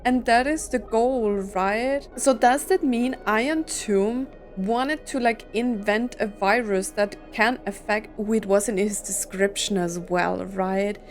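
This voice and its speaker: A woman's voice: mid-range voice, takes it slowly, few pauses, faint music behind. A woman giving casual reaction commentary as a gaming streamer, thinking aloud and asking questions.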